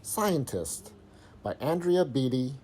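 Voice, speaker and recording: A man with a soft, mellow tone reads a rhyming children's book aloud. He takes his time, leaving pauses and stressing key words for young listeners. His voice sits at a middling male pitch. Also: very animated, slightly rough. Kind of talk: expressive children's read-aloud of rhyming verse.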